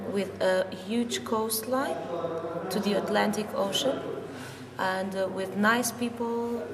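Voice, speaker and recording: A woman with a Portuguese accent speaks earnestly in an unscripted interview, her voice at an average pitch. She sounds away from the microphone in a long reverb, with a loud crowd around her. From Lisbon, Portugal. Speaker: interviewee, youth project participant. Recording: distant mic, reverberant, loud crowd behind.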